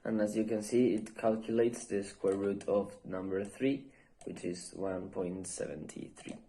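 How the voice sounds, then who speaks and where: Guy doing a screencast demo, really unhurried and soft-toned, bit of hiss and clicks behind him. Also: lowish voice, level tone. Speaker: presenter of a software demo. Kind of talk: narrated screencast demonstration.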